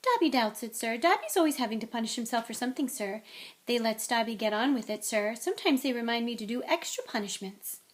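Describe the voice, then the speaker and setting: A woman voicing a timid, devoted male house elf who is high-pitched, earnest and servile, and who speaks of himself in the third person. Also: lively intonation, fast talker, lightly acted.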